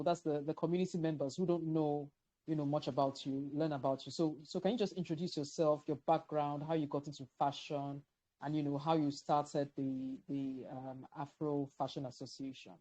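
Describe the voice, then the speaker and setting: A woman's voice: low-pitched, fairly even intonation, moderate pace, dark tone. A woman hosting an interview over a video call, asking questions with frequent stammered restarts. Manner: repeats words, stammering starts. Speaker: interviewer, host.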